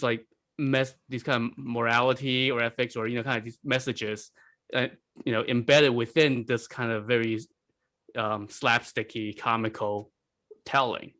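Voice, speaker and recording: A man speaks as a panelist in a casual podcast discussion. His voice sits at a middle pitch. He feels his way through sentences in stops and starts, leaning on "like" and "you know.". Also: a bit bright. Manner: halting, peppered with "like" and "you know".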